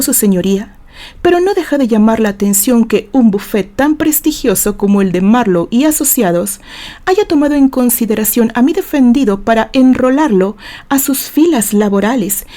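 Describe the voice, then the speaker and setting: A woman's voice: a little high, dark tone, lightly acted. Woman voicing a formal, pointed male defense attorney. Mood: insistent. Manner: formal, emphatic, rhetorical courtroom delivery.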